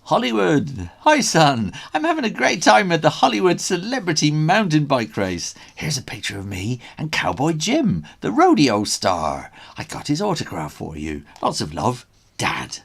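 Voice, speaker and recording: A man voicing a cheery, boastful sporty father in a children's story, excited and breezy. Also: a little high, moderate pace, creaky, husky, pitched above the performer's own voice, heavily acted. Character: cheery, boastful bike-champion dad.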